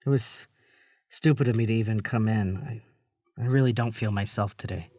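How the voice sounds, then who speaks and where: A man's voice: lowish voice, moderate pace, darkish tone, close to an everyday voice. An actor playing a meek, haunted man in a horror audio drama, narrating his own story with a hesitant, anxious delivery. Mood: dazed, haunted. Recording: music behind the voice.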